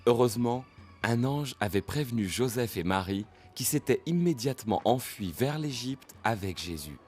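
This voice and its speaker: A man giving formal, measured scripted narration for an animated Bible story. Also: medium pitch, faint music behind.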